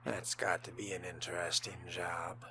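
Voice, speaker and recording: A man role-playing a cool, courteous vampire fledgling in a video game, speaking in a measured, dry way with some breathiness. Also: low voice, little pitch movement, vocal fry, pushed and bright, a little hiss or hum. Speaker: player voicing his vampire character.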